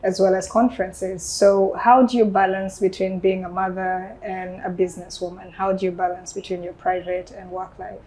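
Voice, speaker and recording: Female talk-show host, mid-range voice, flat steady intonation, presenting and putting questions to a guest. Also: mid-range voice, moderate pace, few pauses, low-quality recording. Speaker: TV interview host. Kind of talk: hosted interview introduction and questions.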